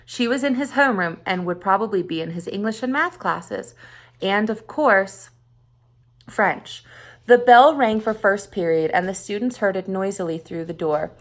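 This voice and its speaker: A woman reads a story aloud in the manner of a schoolteacher. Her voice sits at an everyday middle pitch, and she gives key words expressive emphasis as she narrates for young listeners. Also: moderate pace. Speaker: teacher reading a story aloud. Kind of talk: read-aloud narration of a children's short story.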